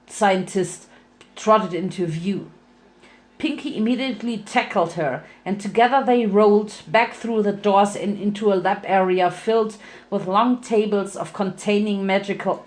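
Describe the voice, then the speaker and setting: A woman reads a story aloud in a dramatic narrating style. Her pitch sits in the middle for a woman, and she sometimes trips on a phrase and goes back over it. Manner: stumbles over words and rereads phrases. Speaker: fan fiction reader.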